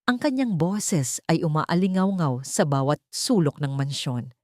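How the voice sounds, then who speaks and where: A woman's voice: low voice, animated, a little slow, mellow, no echo, clean studio sound. A woman with a Filipino accent giving a dramatic scripted narration as an audiobook narrator.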